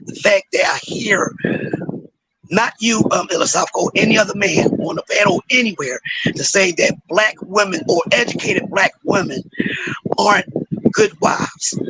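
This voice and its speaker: A hoarse man speaking as a panelist in a heated debate, preachy and often asking "you understand?". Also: sits high, wildly swooping pitch, normal speed, bright, forceful tone, poor audio.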